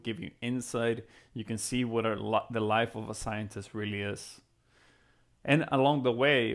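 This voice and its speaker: Creaky-voiced man explaining to students. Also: mid-range voice. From Venezuela (Caracas). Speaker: physics professor and program co-founder. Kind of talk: explanatory talk to students, conversational.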